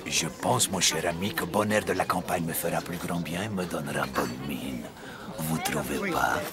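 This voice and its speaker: Deep-voiced sly foreign villain. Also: male voice, normal speed, crowd noise. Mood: sly, gloating. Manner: broken French dropping articles, sly. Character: sinister foreign male henchman, slyly menacing, falsely courteous. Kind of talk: French cartoon acting.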